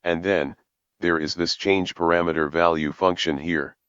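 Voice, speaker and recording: A man with a low, resonant voice narrates a tutorial in measured, formal sentences. The recording is dry and close, with a slightly dull top end. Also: steady tone, a bit bright, clear, resonant tone, dry recording. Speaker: programming tutorial presenter.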